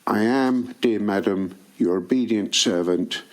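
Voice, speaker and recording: A man reading official historical correspondence aloud in a measured, formal manner. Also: lowish voice. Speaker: reader of historical documents.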